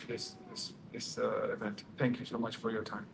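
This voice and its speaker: A man giving a brief, halting speech to an audience, full of hesitations, then introducing the next speaker. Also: lowish voice, completely flat delivery, gravelly. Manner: halting, many uh fillers and restarts. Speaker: event speaker handing over the mic. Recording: noticeable background room noise.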